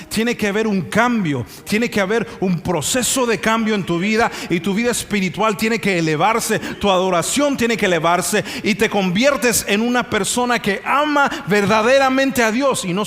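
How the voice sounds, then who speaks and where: A young male preacher speaks in a somewhat high voice over background music, in a hall with long reverb. He tells anecdotes conversationally and keeps checking in with rhetorical questions. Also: a bit bright. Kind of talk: sermon with anecdotes. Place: church sanctuary.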